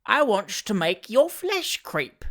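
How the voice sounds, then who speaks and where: Woman playing a loud, solemn fat servant boy. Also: mid-range voice, very animated, takes it slowly, voice pushed up, full character voice. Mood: solemn, ominous. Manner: emphatic, roaring, rustic ungrammatical speech. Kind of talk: storybook character reading.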